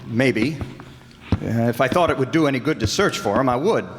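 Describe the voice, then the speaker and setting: A man's voice: mid-range voice, lively intonation, a little fast, natural voice. A man playing a wry, confident amateur detective in an old-time radio drama. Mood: focused, assured. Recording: noisy echoing hall.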